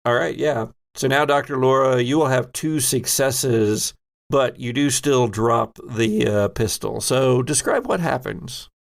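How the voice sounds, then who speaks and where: Male game master, gravelly fry in a mid-pitched voice, running a tabletop horror game. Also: unhurried.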